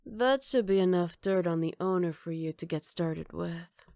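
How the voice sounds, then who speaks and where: A woman playing a flirty, cocky female villain who teases in an unhurried, smooth-talking way, in an ASMR roleplay. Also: on the low side, a little mellow, dry recording, clean studio sound, close to an everyday voice. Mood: casual, teasing.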